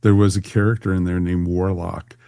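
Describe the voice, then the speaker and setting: Guy hosting a casual review podcast, really deep voice, close dry sound. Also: level tone, fairly quick, vocal fry, mellow, dry recording. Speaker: podcast host. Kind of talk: casual panel review chat.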